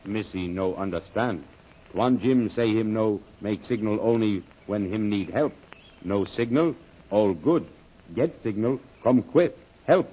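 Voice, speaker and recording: A man's voice: on the low side, expressive, unhurried, dark tone. An actor playing a loyal native male jungle guide who speaks broken pidgin English in an old-time radio serial. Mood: stubborn. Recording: dull lo-fi old radio recording.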